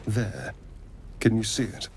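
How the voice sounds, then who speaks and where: A man's voice: lowish voice, lively intonation, takes it slowly, vocal fry, a little mellow, slight background noise, full character voice. An actor voicing a sly, silky and menacing male rogue in a video game, with an arch, affected delivery. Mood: feigned urgency.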